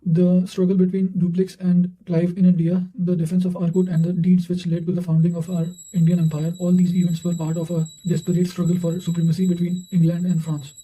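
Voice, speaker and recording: A man reads study notes aloud in a high-set voice with a very soft, mellow tone. His intonation stays flat and even. He recites formally, now and then tripping on a word and repeating it. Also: a little fast, few pauses, poor audio. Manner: recites formally, stumbling over words and re-reading them. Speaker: narrator reading quotations for exam revision. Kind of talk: read-aloud study narration.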